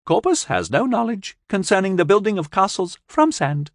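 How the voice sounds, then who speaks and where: A man putting on a halting, high childlike alien girl. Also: very animated, no room sound, studio quality. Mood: earnest. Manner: broken formal phrasing, slow and careful. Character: young female alien creature, childlike, halting speech.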